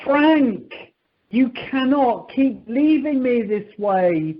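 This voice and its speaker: A woman plays a polite, put-upon male airline clerk in an amateur radio comedy. The delivery is slow and lively in pitch, heard through a muffled telephone conference line. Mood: exasperated.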